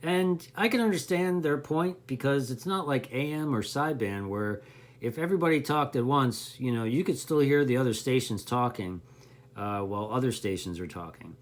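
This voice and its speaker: A man's voice: medium pitch, expressive, normal speed. A man giving a casual, unscripted hobby explainer in a rambling, folksy way, often correcting himself as he goes. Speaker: CB radio hobbyist explaining gear to beginners.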